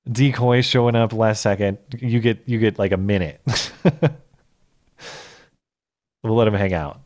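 A man hosting a live call-in show, chatting with callers in a relaxed, casual way. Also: fairly low pitch. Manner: relaxed, chatty, quick asides. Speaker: host.

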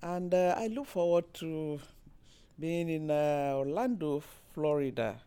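A woman's voice: sits low, takes it slowly, warm tone, clear, resonant tone. A woman from Nigeria, introducing herself in an interview with frequent hesitations and fillers. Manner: hesitant, many fillers and restarts. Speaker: interviewee, managing director.

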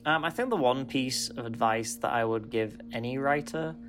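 A man's voice: medium pitch, normal speed, over music. A man who writes scripts, giving a casual, unscripted testimonial with a somewhat hesitant, loose delivery.

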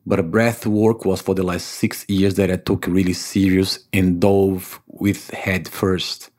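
Brief: low-pitched Brazilian man, English, earnest, explanatory interview guest. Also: level tone, slow, darkish tone. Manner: non-native phrasing, earnest and explanatory. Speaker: podcast guest, breathwork instructor. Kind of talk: conversational interview answers.

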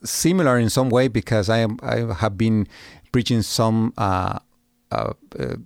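Soft-spoken pastor, halting and dry. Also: male voice, lowish voice, creaky, dry recording. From Guatemala. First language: Spanish. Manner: halting, many "uh" pauses, short agreeing replies. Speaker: pastor of a Spanish-language service. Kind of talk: podcast interview guest.

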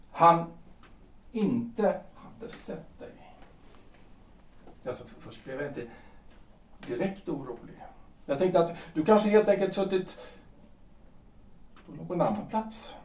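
An actor playing an anguished, brooding husband in his forties in a period audio drama, halting and repeating his phrases with theatrical emotion. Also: high voice, a little mellow. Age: 40s. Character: anguished middle-aged husband, brooding, insistent, self-doubting. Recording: roomy, distant from microphone.

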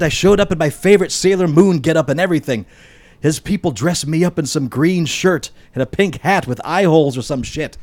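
Man doing a high whining, sobbing wreck of a man. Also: natural voice. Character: disheveled sobbing man pleading, plagued by split personality.

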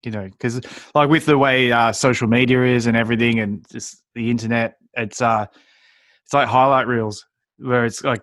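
A man's voice: mid-range voice, fairly bright tone, no room sound. Casual male podcast host, dry close sound. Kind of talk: casual interview chat.

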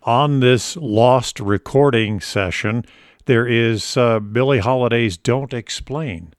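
Male interviewer, medium pitch, close dry sound. Conversational questions, polite, a touch hesitant. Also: takes it slowly, no echo.

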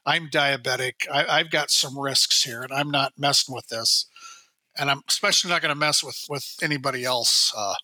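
A man in his sixties, hoarse while recovering from illness, rambling and restarting his sentences in a casual radio chat. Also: mid-range voice, normal speed, sharp tone, no room sound. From Minnesota. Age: 60s. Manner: rambling, self-correcting storyteller. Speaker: show regular, state high school sports guide.

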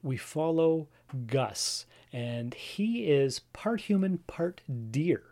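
A man's voice: fairly high pitch, animated, slow pace, warm tone. A man in his forties hosting a casual solo show, rambling with frequent fillers and restarts and addressing his listeners directly. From Canada. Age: 40s. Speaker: podcast host.

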